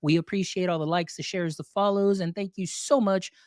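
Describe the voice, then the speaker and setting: A man's voice: high-pitched, fairly even intonation, brisk, smooth, dry recording, clean studio sound. A man hosting a podcast interview, upbeat and effusive in his welcomes and thanks. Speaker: podcast host.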